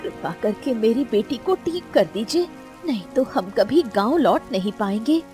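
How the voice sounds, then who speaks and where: A woman's voice: on the high side, expressive, normal speed, warm tone, faint music behind, close to an everyday voice. A woman voicing a distressed, imploring poor village mother in a Hindi cartoon story, with a plaintive manner. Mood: pleading. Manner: pleading, plaintive.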